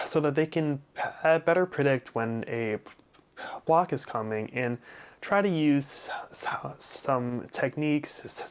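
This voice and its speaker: A young man with a noticeable stutter talks at a slow, deliberate rate. He repeats first sounds, hits occasional blocks and stretches words smoothly. His delivery is explanatory and personal, at an average pitch. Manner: deliberate, smoothly prolonged speech between stutters. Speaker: vlogger sharing personal experience. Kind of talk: explanatory personal talk to camera.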